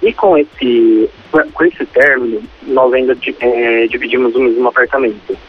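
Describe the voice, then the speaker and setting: A man's voice: mid-range voice, steady tone, moderate pace, a little hiss or hum. A man of twenty-nine, phoning in to a radio show and talking casually. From Ribeirão Preto, Brazil. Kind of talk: casual phone-in storytelling. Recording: muffled, husky phone line, faint music.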